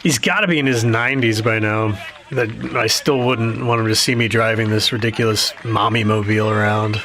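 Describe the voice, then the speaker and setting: An actor playing a wry, self-conscious younger brother in a naturalistic audio drama. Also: male voice, lowish voice, fairly even intonation, a little fast, pushed and bright. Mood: joking.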